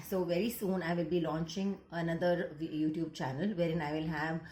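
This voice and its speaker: A woman's voice: fairly low pitch, steady tone, normal speed, warm tone, reverberant. A woman counseling psychologist with an Indian accent, Gujarati her first language, talking earnestly to camera and slipping into Hindi mid-sentence. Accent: Indian English. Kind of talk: casual talk to camera.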